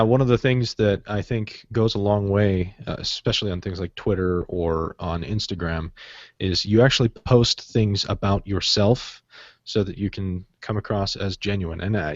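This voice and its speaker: Low-voiced male host, halting delivery, dry muffled sound. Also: dry recording. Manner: halting, restarts a lot while thinking aloud. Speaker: podcast host. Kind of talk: interview chat.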